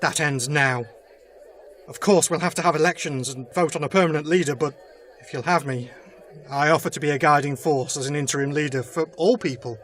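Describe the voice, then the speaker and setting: Man playing a humble soldier, raised voice pitch. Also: fairly quick, fairly bright tone, lightly acted. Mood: solemn, rousing. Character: Debo, humble male soldier turned rousing leader. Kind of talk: fan audio drama acting, speechmaking.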